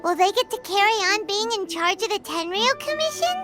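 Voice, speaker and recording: A woman voicing a tiny, chatty, cheerful childlike companion in a video game, with a squeaky voice. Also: expressive, a little fast. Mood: relieved, curious. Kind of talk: scripted video game dialogue. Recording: voice over loud game music.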